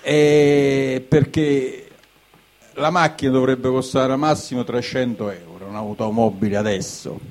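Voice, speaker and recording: A man's voice: mid-range voice, steady tone, a little slow, a little mellow. A man with a southern Italian accent ranting informally in a colloquial, rambling, ironic way, slipping into dialect and asking rhetorical questions. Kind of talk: informal spoken rant, half in dialect.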